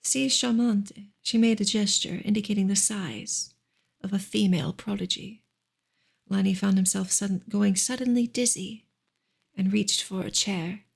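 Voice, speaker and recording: A woman reads a novel aloud in a soft ASMR style. Her voice is breathy and husky with a creaky fry at the edges. The delivery is gentle and measured, staying on an even, narrow line of pitch. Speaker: ASMR reader and livestream host. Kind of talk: soft ASMR audiobook reading of a classic novel.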